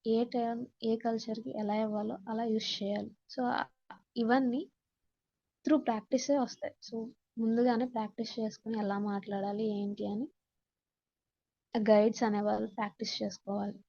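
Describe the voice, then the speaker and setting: Mellow Telugu woman teaching, slightly muffled audio. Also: fairly high pitch, flat delivery, moderate pace, creaky, pauses often. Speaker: instructor. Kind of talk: slide-based lesson, explaining in Telugu and English.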